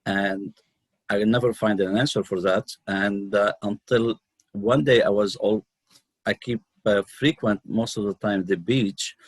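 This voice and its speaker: A middle-aged man from Lebanon with a Lebanese accent, telling his own story as an interview guest, unscripted and halting, often pausing mid-sentence. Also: fairly low pitch, fairly even intonation, breathy edge, no echo. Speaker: guest, numerologist and healer.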